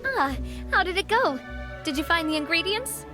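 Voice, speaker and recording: A young lady boss talks in a high, lively, expressive voice. She is gracious, upbeat and encouraging in polished video game style, over background music. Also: moderate pace. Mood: warm.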